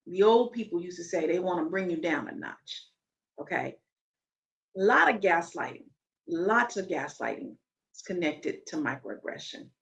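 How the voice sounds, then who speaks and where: A woman with a deep, loud voice giving an educational talk, emphatic and often checking in with "okay?". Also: vocal fry. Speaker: video commentator. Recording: muffled, distant video playback.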